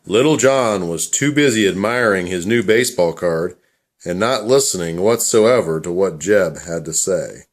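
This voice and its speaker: Male narrator for a children's puppet tale, low register, highly animated melodic delivery. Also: normal speed. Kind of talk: scripted storybook narration for a puppet show.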